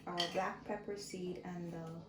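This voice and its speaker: A woman hosting a cooking channel, explaining each step in a slow, friendly way from her home kitchen. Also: fairly low pitch, fairly even intonation, very noisy. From Guyana. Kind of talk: casual cooking tutorial. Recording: echoey kitchen with clattering pots.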